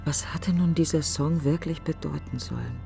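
Gravelly, brooding middle-aged woman in grief. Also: low-pitched, normal speed, mellow, soft background music. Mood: suspicious brooding. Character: bitter grieving woman mourning her best friend. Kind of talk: radio drama monologue, intimate interior narration.

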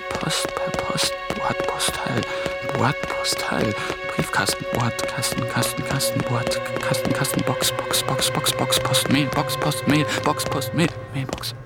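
An actor playing an ambitious young man on the run in a radio drama, muttering to himself in breathy fragments. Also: medium pitch, wildly swooping pitch, unhurried, hardly any pauses, over loud music, heavily acted. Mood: panicked, muttering. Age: young adult. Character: ambitious young German intern on the run, panicked, improvising. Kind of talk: radio drama acting with inner monologue.

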